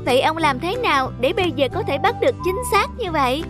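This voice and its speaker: Curious young cartoon schoolboy, female performer, high pitch, eager, music bed. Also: animated, fast talker. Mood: amazed. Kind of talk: scripted cartoon dubbing. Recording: children's music under the voice.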